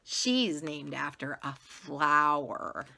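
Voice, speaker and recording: A woman's voice: deep voice, expressive, extremely slow, vocal fry, sharp tone, heavily acted. A woman voicing snooty, teasing little schoolgirls from a storybook in an exaggerated, sing-song manner. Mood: mocking.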